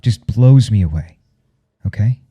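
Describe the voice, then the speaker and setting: Flustered smitten professor, asmr roleplay, really mellow and husky, stammers and gets coy. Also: male voice, expressive, measured pace, dull audio. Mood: nervous, intense. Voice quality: husky, dark low murmur. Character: nervous, smitten male professor confessing forbidden attraction. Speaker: audio roleplay performer. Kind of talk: intimate ASMR roleplay acting.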